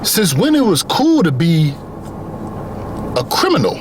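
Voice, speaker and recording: Earnest young man with a lively voice. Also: a little high, unhurried, background noise. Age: young adult. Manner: earnest, repetitive emphatic phrasing. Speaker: vlogger. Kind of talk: motivational monologue.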